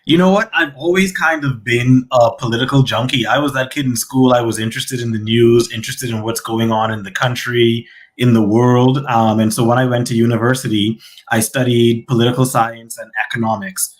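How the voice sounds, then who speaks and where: A man's voice: mid-range voice, steady tone, fairly quick. Articulate man in his thirties. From the Bahamas. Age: 30s. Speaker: guest, senator and attorney. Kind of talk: conversational interview answers.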